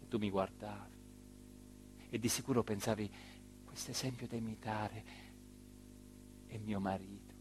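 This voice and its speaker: An actor playing an embittered, abandoned small-town mayor in a theatrical monologue, with a southern Italian accent, moving emotionally between low murmurs and loud declaiming. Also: male voice, fairly even intonation, moderate pace, pauses often, noisy background, lightly acted. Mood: wistful, bitter. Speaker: stage actor.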